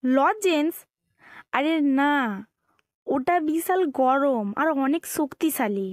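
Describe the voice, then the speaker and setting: A sensible cartoon planet Earth, voiced by a woman, knowing and quick to scold. The voice is high-pitched and recorded close and dry. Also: expressive, dry recording. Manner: knowing, admonishing. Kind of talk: children's cartoon dialogue.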